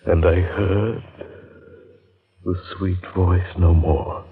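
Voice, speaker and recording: Tormented guilt-ridden man, low voice, slow halting delivery, radio drama, tinny old recording. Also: steady tone, slightly rough, exaggerated voice. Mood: weary, remorseful. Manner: hesitant, halting, repeats himself. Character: anguished, guilt-ridden man destroying a sacred grove. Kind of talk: old-time radio drama acting and first-person narration.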